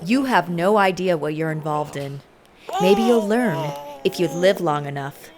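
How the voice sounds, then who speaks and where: A woman's voice: fairly low pitch, expressive, a little slow, noisy background. A woman playing a tough, bitter female agent who threatens and accuses in a sardonic way in a video game cutscene. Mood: grim, threatening.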